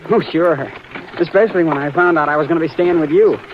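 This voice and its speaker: An actor voices an eager sixteen-year-old boy who answers politely and earnestly, in a fairly high voice. It is a 1950s radio western, with a muffled sound and a faint hiss. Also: natural voice. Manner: polite and earnest, quick to insist he is not scared. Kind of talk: 1950s radio drama acting.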